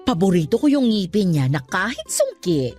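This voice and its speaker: Woman acting a sassy, animated older Filipina mother. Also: mid-range voice, normal speed, soft background music, natural voice. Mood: smitten, coy. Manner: playful, campy, slangy teasing. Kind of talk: Tagalog radio drama acting.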